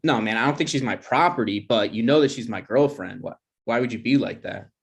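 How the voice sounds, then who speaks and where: A man's voice: mid-range voice, brisk, vocal fry, natural voice. Man acting hesitant, insecure, jealous boyfriend. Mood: hurt, indignant. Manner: hesitant, stammering. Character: insecure, jealous boyfriend, unemployed grad student. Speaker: actor in a screenplay table read. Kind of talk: naturalistic comedy table read.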